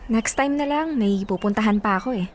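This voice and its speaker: A woman's voice: on the high side, fairly quick, a little hiss or hum, natural voice. An actress with a Filipino accent playing a hurt, spurned young woman, proud and reproachful, in an emotional radio drama. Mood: cold, reproachful. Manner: wounded, reproachful. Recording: music under the dialogue. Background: music.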